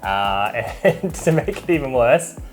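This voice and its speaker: A man co-hosting a casual chat, talking in a playful, chatty way, his voice rough from a cold. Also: mid-range voice, very animated, measured pace, soft background music. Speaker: co-host.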